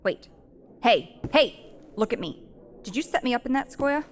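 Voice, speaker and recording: An actress playing a tough, blunt, foul-mouthed thief who leads a fantasy band, in an audio drama. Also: female voice, on the high side, big pitch swings, moderate pace, a little hiss or hum. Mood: angry. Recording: long hall-like reverb.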